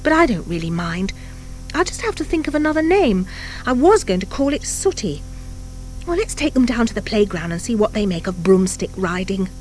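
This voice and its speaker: A woman's voice: medium pitch, big pitch swings, moderate pace, a little mellow, a little hiss or hum, lightly acted, low-quality recording. A woman voicing a hapless, good-natured young schoolgirl witch in a storybook, chatty and resigned. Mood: accepting.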